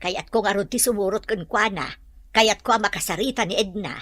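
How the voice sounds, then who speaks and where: Insistent mother played by a woman, bright voice. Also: mid-range voice, fairly quick, dry recording, natural voice. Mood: worried. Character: nagging, concerned mother of grown son. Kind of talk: radio drama acting.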